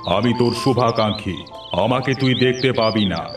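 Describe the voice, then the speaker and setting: A far-off, mysterious spirit played by a man speaks over clear music. He is calm and generous, an unseen well-wisher from a cartoon fairy tale, and makes slow, assuring pronouncements at a medium pitch. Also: close to an everyday voice. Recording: distant-sounding voice under clear music.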